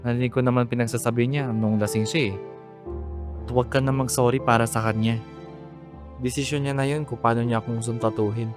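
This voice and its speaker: Man playing weary eldest brother, Filipino accent, earnest, emotional, resentful, radio drama, medium pitch, music underneath. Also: steady tone, brisk, natural voice. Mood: resigned, gentle. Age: young adult. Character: weary, resentful eldest son supporting his family.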